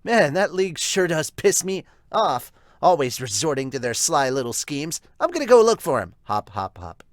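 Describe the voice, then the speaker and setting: A man's voice: fairly high pitch, big pitch swings, moderate pace, no echo, studio quality, pitched above the performer's own voice. A man voicing a brash, cocky young woman who is a rabbit-like hero. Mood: irritated.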